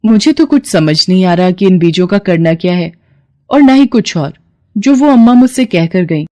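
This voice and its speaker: A woman's voice: lowish voice, lively intonation, moderate pace, mellow, lightly acted. A woman voicing a humble, hardworking servant in a story, plaintive and respectful, with wistful longing. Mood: doubtful.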